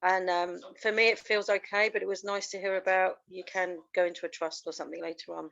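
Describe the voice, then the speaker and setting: A woman's voice: fairly low pitch, flat delivery, quick pace, gravelly, fairly bright tone, dull audio. A woman with a cold joining a video call, congested, giggly and enthusiastic.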